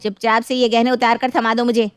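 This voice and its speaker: High-pitched, bossy, taunting younger sister, acted by a woman. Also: flat delivery, brisk, smooth, dry recording, close to an everyday voice. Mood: haughty, demanding. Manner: taunting, mock-polite, domineering. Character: greedy, bossy younger married sister, taunting her family. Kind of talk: dramatized Hindi moral story acting.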